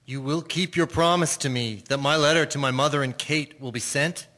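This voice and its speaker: An actor playing a brash, lustful, hot-tempered young sailor in a radio drama. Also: male voice, fairly high pitch, moderate pace, bright, forceful tone. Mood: repentant. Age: young adult.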